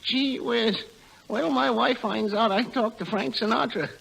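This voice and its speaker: Meek, very creaky high-voiced henpecked fellow. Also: male voice, unhurried, a bit bright, full character voice, low-quality recording. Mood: eager awe. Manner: meek, eager. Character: timid, henpecked, gee-whiz married man. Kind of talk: 1940s radio comedy acting.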